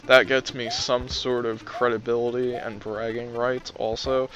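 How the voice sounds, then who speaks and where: A man's voice: mid-range voice, flat delivery, measured pace, dull audio. A young man giving casual, rambling commentary over his gameplay, wry and self-deprecating, his voice strained from talking a long time. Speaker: let's play commentator.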